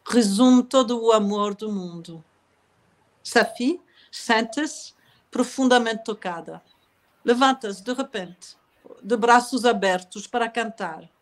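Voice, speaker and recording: A woman author, a lingering cough after an illness, talking calmly about poetry and reading gently from her novel. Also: a little high. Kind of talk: conversational interview, then reading aloud from her novel.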